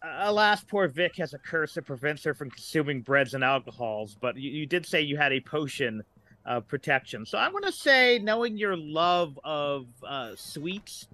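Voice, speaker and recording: A man speaking as a podcast guest in casual, playful, improvised chat, often halting as he searches for words. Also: on the high side, lively intonation, bright, forceful tone, no echo.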